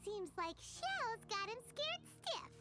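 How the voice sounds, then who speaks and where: Very high squeaky little fairy. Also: female voice, sing-song, slow, over loud music, exaggerated voice. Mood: chirpy, curious. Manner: childlike, refers to self in third person. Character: tiny childlike talking fairy companion, chirpy and curious. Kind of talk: anime game voice acting.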